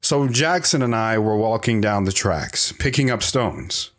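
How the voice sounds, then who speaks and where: A man's voice: lowish voice, lively intonation, measured pace, a bit bright, soft background music. A man playing a wanderer recounting his hard life in first-person audio drama narration. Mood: reflective.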